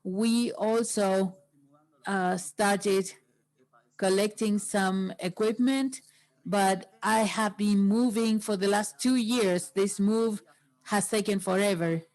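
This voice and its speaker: A woman interpreting a workshop into English, pausing often between phrases. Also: mid-range voice, fairly even intonation, a little mellow, poor audio.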